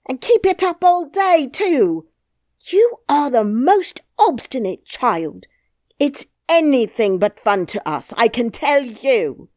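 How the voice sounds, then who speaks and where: Brief: a woman playing a shrill, scolding storybook nurse, high and loud, lively, dry close sound. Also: slow pace, no room sound, heavily acted. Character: shrill scolding female nurse, frightened and angry. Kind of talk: audiobook character voice.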